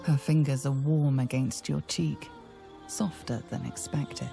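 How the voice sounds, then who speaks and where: An actress playing an ominous, hushed narrator of a video game, telling the scene in a gravelly voice. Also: female voice, rumbling low, fairly even intonation, unhurried, music in the background, exaggerated voice. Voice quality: gravelly, creaky. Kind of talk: scripted second-person game narration.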